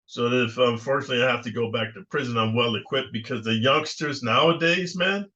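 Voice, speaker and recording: Older guy rambling assertively, bright edge, muffled lo-fi sound. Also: medium pitch, a little fast. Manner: assertive, rambling with fillers and restarts. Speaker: YouTube channel host. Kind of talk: casual commentary monologue. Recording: muffled lo-fi recording.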